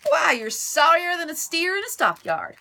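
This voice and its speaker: A woman's voice: very high voice, very animated, normal speed, voice pushed up, exaggerated voice. A woman voicing mean, bossy young cowboy stepbrothers in a storybook, barking orders. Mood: mocking. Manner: loud, barking, mocking.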